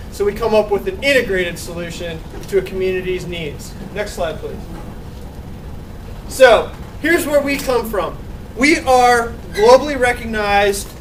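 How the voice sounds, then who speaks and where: A man giving a group slide presentation with an energetic, repetitive emphasis, recorded from a distance. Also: sits high. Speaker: student presenter. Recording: distant, with faint noise.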